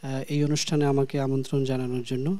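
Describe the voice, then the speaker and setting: A man's voice: medium pitch, little pitch movement, racing, warm tone. A man speaking politely and formally as a guest singer on a studio show. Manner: courteous, formal. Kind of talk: polite interview talk.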